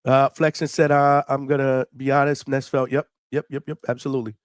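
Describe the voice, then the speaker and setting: A middle-aged man hosting a live boxing call-in stream, chatting casually in hyped, slangy talk with shout-outs and free swearing. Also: mid-range voice, level tone, fairly quick, clean tone, dry recording, studio quality. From New York City, United States. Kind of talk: casual livestream chat with viewers.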